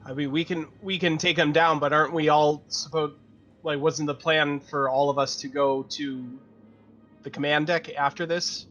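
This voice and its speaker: Higher-pitched man, dull lo-fi sound. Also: steady tone, moderate pace, a bit bright, faint music behind. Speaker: tabletop game player. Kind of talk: casual game chat.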